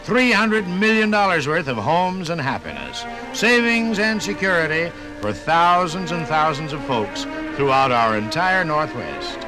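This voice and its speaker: An American man doing scripted 1950s radio announcing, with folksy, measured phrasing. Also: a little high, big pitch swings, poor audio. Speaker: radio narrator and sponsor announcer. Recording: 1958 radio program from LP record. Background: music.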